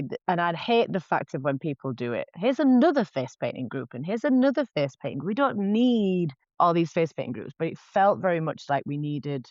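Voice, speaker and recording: A woman's voice: low voice, no echo. Woman in her 40s, chatty and frank, keeps restarting, dull dry podcast audio. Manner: frank and chatty, with lots of restarts and self-quoted asides. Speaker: podcast guest, face painter. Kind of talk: candid casual conversation.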